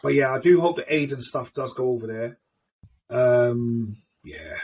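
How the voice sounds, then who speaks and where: A man's voice: medium pitch, a little slow, a little mellow. A man hosting a casual chat, easygoing and agreeable as he leads the segments. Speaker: podcast host. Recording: distant mic with faint background noise.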